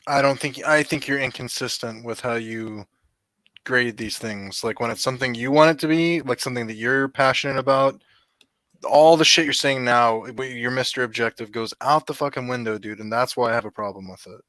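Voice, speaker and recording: A man's voice: medium pitch, fairly quick, fairly bright tone, no echo. A man in a casual film debate, blunt and profane, pushing back at his co-host. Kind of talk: casual movie review debate.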